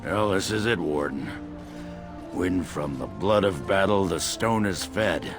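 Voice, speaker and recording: An actor playing a crude, gruff dwarf warrior in a video game, with a blustering manner. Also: male voice, deep voice, lively intonation, a little slow, music in the background. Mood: gruff salute.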